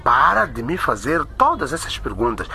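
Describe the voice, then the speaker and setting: A man playing an anxious, evasive husband and father in a radio drama, speaking in an urgent, halting, pleading way. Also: mid-range voice, big pitch swings, gravelly, bright, forceful tone, slight background noise, full character voice. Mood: pleading, exasperated.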